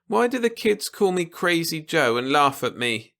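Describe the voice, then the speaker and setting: Man playing an innocent, bright-voiced little boy. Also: fairly high pitch, big pitch swings, normal speed, creaky, voice pushed up, exaggerated voice. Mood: innocent, curious. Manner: naive, earnest, simple wording. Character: innocent, trusting little boy who reads minds.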